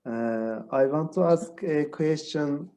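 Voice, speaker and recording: Slow, halting Turkish-accented man. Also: on the high side, mellow. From Turkey. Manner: halting, many "uh" pauses, searches for words. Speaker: webinar participant asking a question. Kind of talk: hesitant question in a second language. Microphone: video call.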